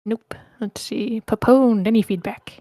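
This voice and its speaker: A woman's voice: on the high side, fairly even intonation, a little slow, mellow, no echo. Woman running an online feedback session, informal, mutters to herself a bit, close dry sound. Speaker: host of an online script table read. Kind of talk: casual meeting moderation, reading out chat comments. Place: indoors on an online meeting call.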